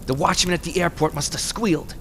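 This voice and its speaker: Man playing a high-voiced, jittery henchman. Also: fast talker, fairly bright tone. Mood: nervous, startled. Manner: jittery, agitated, melodramatic. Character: Ward, nervous, resentful, desperate male henchman. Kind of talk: Golden Age radio drama acting. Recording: noisy radio drama recording.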